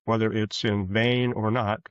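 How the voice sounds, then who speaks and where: Male podcast guest, deeper register, earnest and halting, reflective delivery, dull roomy recording. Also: little pitch movement, clean tone. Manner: halting, earnest, rephrases as he goes. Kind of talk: reflective interview conversation. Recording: muffled, roomy, echoey sound.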